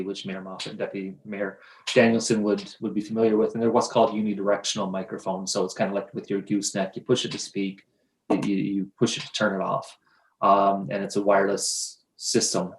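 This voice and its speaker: A man's voice: lowish voice, roomy sound, low-quality recording. A man on staff presenting options at a council meeting, explaining carefully with frequent restarts and hedges. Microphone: video conference mic.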